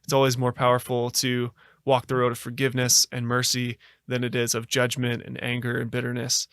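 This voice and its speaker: An American man speaks in an even, level tone with a smooth, clean voice. He tells his story earnestly, pausing often with fillers and restarting his thoughts. The recording is dry and close, with no room sound. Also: medium pitch, no room sound. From United States. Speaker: podcast guest. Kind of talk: reflective storytelling.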